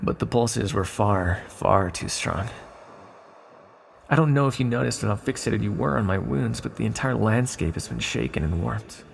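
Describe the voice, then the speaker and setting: A man playing an ancient sealed villain, a calm and tender magical being who speaks in a measured, formal, reassuring way in an ASMR roleplay. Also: fairly low pitch, vocal fry, breathy edge, full character voice. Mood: grave, puzzled. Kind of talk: ASMR roleplay, scripted monologue to the listener.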